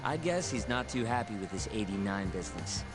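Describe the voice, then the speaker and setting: A man's voice: fairly low pitch, animated, a little fast, lightly acted. Male actor as cocky young informant, crime game, easygoing and conversational, music behind. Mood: smug. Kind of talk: video game voice acting, conversational.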